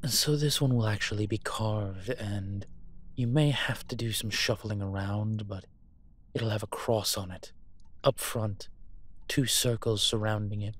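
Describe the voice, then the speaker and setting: A man playing a suave incubus demon professor in a roleplay audio drama, measured, wry and teasing. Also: on the low side, fairly even intonation. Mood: focused, instructive.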